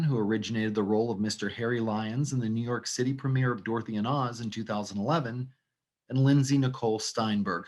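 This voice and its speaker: A man presenting as playwright and actor, giving a scripted, wordy introduction in an articulate, theatrical manner. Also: on the low side.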